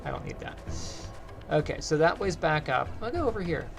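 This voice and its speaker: A man with a light, higher-pitched voice, casually rambling to his chat as he plays a game and streams. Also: sing-song, a little fast, vocal fry, over music. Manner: rambling, chatty, talks to chat while playing. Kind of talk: casual gameplay commentary.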